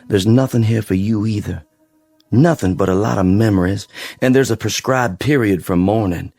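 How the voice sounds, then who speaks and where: An actor playing a weary wounded sergeant in a radio drama, courteous and plain-spoken but resolute. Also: male voice, on the low side, creaky, a little mellow, faint music behind. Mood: firm, reflective. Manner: plain-spoken, polite, halting.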